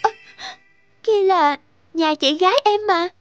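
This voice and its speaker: A woman voicing an emotional, hesitant young girlfriend in love in an animated drama. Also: very high-pitched, slow pace, dry recording, muffled recording, faint music behind. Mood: bewildered.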